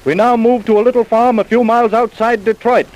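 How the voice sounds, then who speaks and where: An actor narrating an old radio crime drama in an emphatic, declamatory manner. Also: male voice, extremely high pitch, steady tone, fairly quick, darkish tone, rough recording. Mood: grave, emphatic.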